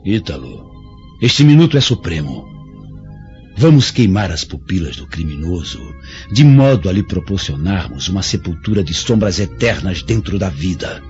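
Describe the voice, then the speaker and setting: An actor playing a vengeful, cruel Judean master in a radio drama, with theatrical, taunting and commanding delivery. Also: male voice, low-pitched, big pitch swings, moderate pace, a little mellow. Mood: menacing, solemn. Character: vengeful, cruel Judean master gloating over his Roman captive. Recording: dull lo-fi audio with music underneath.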